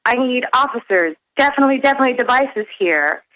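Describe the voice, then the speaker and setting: A woman calling in to a radio show, giving an earnest, emphatic and deliberate account in her own words. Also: sits high, animated, fairly bright tone, poor audio.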